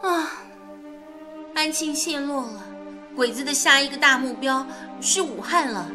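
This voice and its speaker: A woman's voice: sits high, lively intonation, a little slow, music in the background. An actress playing an earnest young woman working at a wartime radio station, in radio drama dialogue. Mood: earnest, concerned.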